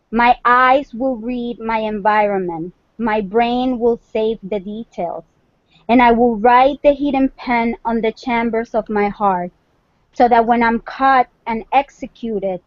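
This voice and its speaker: A woman's voice: fairly high pitch, no echo. A woman speaking as a guest poet on an online livestream. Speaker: guest poet and volunteer editor. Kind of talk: poetry reading and casual discussion on a livestream. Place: indoors on an online group call. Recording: dull lo-fi online call audio.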